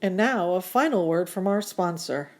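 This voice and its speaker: A woman announcer reading scripted radio show announcements and credits in a deliberate, presentational way. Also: on the low side, lively intonation.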